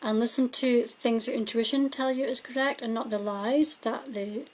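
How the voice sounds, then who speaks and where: A woman's voice: a little high, fairly even intonation, normal speed. Chatty Scottish woman reading tarot. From Scotland. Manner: chatty, thinking aloud, trailing off. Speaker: tarot card reader. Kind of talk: casual live-stream tarot reading. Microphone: phone or webcam on a live stream.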